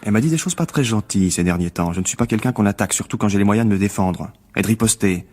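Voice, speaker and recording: A jealous, calculating male rival played by an actor in a radio drama. His voice sits fairly low and is slightly husky. He talks down to his listener with smug, insinuating cruelty and menace. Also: fast talker, darkish tone, natural voice. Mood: cold threatening. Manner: condescending, insinuating. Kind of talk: French radio drama acting.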